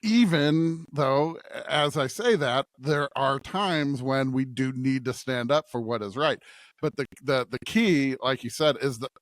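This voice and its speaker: A man's voice: mid-range voice, unhurried, no room sound. A man co-hosting a podcast, reflecting casually on scripture in an earnest way, repeating phrases for emphasis and checking in with his listener. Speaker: podcast co-host.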